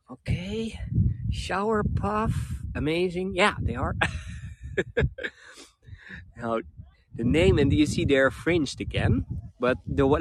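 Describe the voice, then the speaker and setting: A man's voice: high-pitched, dry recording. A man with a Dutch accent giving an enthusiastic, chatty live tour of an outdoor flower garden, answering viewer comments as he films with a handheld gimbal. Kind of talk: live-streamed casual tour chat. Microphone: camera on a handheld gimbal. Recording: loud background noise outdoors.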